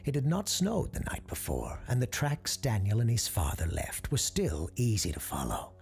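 A woman narrating a ghost story in a hushed, often whispered delivery. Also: a bass voice, wildly swooping pitch, normal speed.

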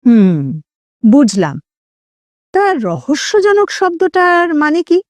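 A woman's voice: high voice, huge pitch swings, takes it slowly, darkish tone, no echo, clean studio sound, pitched above the performer's own voice, full character voice. A woman voicing a wary, reluctant male friend in an audio story, conversational and questioning, teasing but timid. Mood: puzzled.

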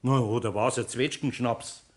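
A man's voice: mid-range voice, steady tone, moderate pace, darkish tone, breathy edge, natural voice. A man playing an older, good-natured master carpenter with a Bavarian accent in a 1960s German radio play, folksy and chiding. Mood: stern, teasing.

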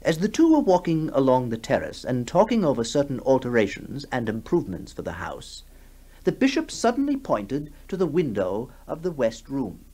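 A man narrating a radio horror story in a deliberate, suspenseful, dramatic style. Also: mid-range voice, wildly swooping pitch, warm tone. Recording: faintly noisy 1960s radio broadcast.

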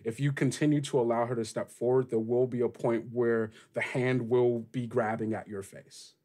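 A man acting as game master, narrating and questioning players in a tabletop role-playing game with deliberate, halting phrasing. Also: mid-range voice, fairly even intonation, warm tone.

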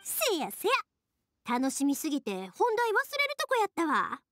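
An actress voicing a cheerful, earnest young woman who makes fireworks, speaking with a Kansai accent in an animated, heartfelt way for an anime game. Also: extremely high pitch, a little slow, soft background music, full character voice.

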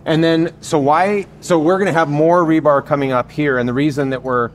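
A man's voice: on the high side, fairly even intonation, moderate pace, a little hiss or hum. A man in his fifties, hosting, asking curious follow-up questions outdoors at a construction site. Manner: curious, asks follow-up questions, frequent okays. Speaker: host. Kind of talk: interview questions. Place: outdoor construction site by a basement form wall.

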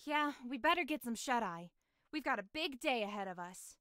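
A woman's voice: fairly high pitch, animated, normal speed, sharp tone, no echo, studio quality. A woman voicing a spirited, determined dragon girl in a video game, earnest and emphatic. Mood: upbeat. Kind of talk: video game cutscene acting. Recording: game music over the voice.

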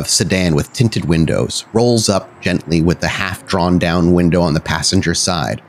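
A man hosting and narrating a tabletop roleplaying game, often halting and correcting himself as he goes. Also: low-pitched. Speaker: podcast host and game storyteller.

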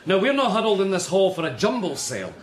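An actor playing a stern, wary armed leader of a village in a radio drama. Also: male voice, sits high, very animated, fast, noisy background. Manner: terse, stern. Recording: roomy, with loud music behind.

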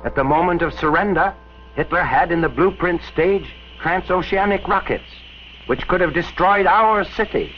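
A man's voice: sits high, moderate pace, very noisy. A man narrating a scripted 1940s educational film in a solemn, declamatory way. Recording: dull, band-limited 1940s film soundtrack. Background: orchestral film score music.

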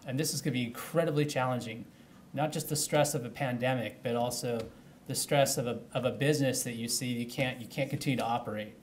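A man's voice: medium pitch, quick pace, slight background noise. Earnest man official at a podium. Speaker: county official. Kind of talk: press conference answers. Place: indoor press room at a podium. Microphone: podium microphones.

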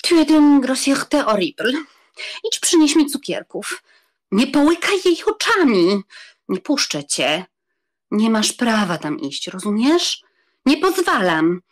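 A woman's voice: on the high side, sing-song, a little slow. A woman playing a jealous, imperious countess in a dramatized audiobook, petulant and commanding, slipping into French. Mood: angry, jealous. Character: jealous, imperious young countess scolding her lover.

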